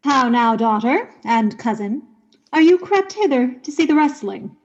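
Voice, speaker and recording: An imperious ruling duke in a Shakespearean radio play. The voice is fairly high and commanding, heard through slightly dull audio. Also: female voice, expressive, unhurried, darkish tone.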